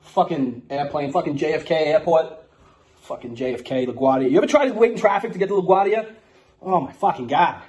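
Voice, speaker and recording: A man's voice: fairly high pitch, expressive, fairly quick, a little mellow, natural voice. A man playing a brash, streetwise, foul-mouthed Bronx guy with a New York accent. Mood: brash, grumbling. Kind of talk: casual ranting and mock-solemn declaration reading.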